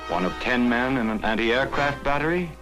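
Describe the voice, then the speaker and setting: 1940s American newsreel narrator over music. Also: male voice, mid-range voice, lively intonation, normal speed, low-quality recording. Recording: 1940s film soundtrack with prominent music.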